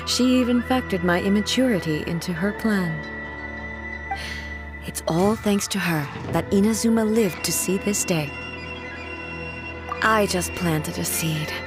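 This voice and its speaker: A woman's voice: mid-range voice, a little slow, long pauses, close to an everyday voice. A woman playing a solemn, ageless ruler in a video game, speaking formally and with grave composure. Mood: composed, wistful. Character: solemn, dignified ageless female ruler, restrained. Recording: studio voice with music clearly behind.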